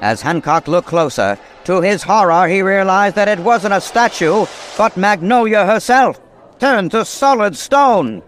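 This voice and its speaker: A man's voice: high-pitched, animated, a little slow, fairly bright tone, soft background music. A man delivering scripted, dramatic narration for a radio drama.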